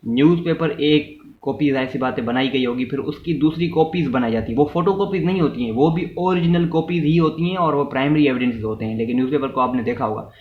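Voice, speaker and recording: A man with a Pakistani accent giving an explanatory law lecture, mixing in English terms and restating each point in a didactic way. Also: on the high side. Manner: didactic, repeats and rephrases points, checks in with listeners. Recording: muffled, roomy echo, background noise.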